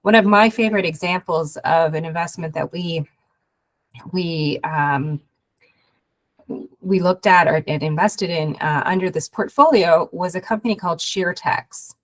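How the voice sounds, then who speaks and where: Hoarse woman speaking on a video call. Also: on the low side. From Canada. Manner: halting, many fillers and restarts. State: hoarse, recovering from a cold. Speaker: guest speaker, investment program head. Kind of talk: webinar presentation.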